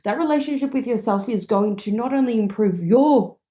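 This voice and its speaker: A woman hosting a psychic advice show, a little hoarse and talking earnestly with many fillers. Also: fairly high pitch, animated, fairly quick, dark tone. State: slightly hoarse, losing her voice. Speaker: psychic radio host. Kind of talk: casual advice talk. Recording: dull lo-fi stream.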